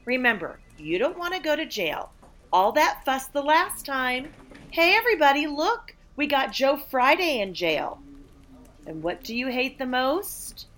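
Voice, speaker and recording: An actress playing a sassy, indignant office worker, snapping back in a 1940s-style radio comedy. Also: female voice, high-pitched, sing-song, measured pace, fairly bright tone, exaggerated voice. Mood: sassy scolding.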